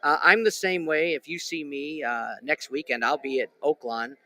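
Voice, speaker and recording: A man's voice: on the high side, moderate pace, pushed and bright. A man hosting a casual sports betting talk show, rambling with many restarts and fillers. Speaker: podcast host. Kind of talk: casual chat.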